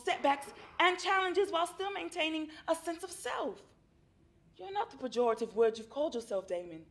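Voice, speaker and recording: An actress playing a calm, encouraging female therapist who speaks in a measured, reassuring way in a stage play. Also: sits high.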